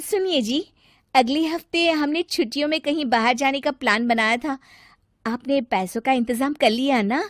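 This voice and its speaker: A woman playing a stubborn, demanding young wife, pouty and insistent, in an animated moral story. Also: sits high, normal speed, no echo, studio quality, voice pushed up, close to an everyday voice. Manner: insistent, whiny complaining.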